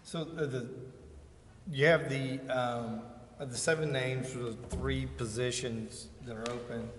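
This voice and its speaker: A man's voice: mid-range voice, fairly even intonation, a little slow. A man asking tentative, step-by-step procedural questions at a council meeting. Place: indoor council chamber. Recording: echoey chamber with faint noise.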